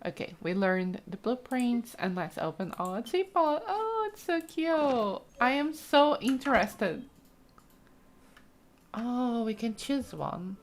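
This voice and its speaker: High-voiced, enthusiastic woman gamer. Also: very animated, takes it slowly. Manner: cooing, enthusiastic, chatty. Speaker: gaming video host. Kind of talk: casual let's play commentary, reading game text aloud.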